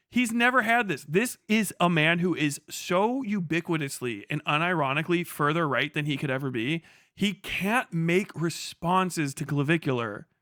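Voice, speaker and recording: A man's voice: on the high side, fairly bright tone, no echo, studio quality. A man hosting a livestream, giving casual, unscripted and opinionated commentary with emphatic delivery and plenty of swearing. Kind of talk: casual unscripted political commentary, reacting to video clips.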